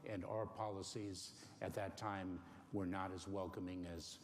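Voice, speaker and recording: Deep-voiced man, halting careful answers. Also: flat delivery. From Cuba. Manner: careful, halting, many ums and pauses. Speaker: interview guest. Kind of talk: measured interview answers. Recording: faint noise in a long-reverb room.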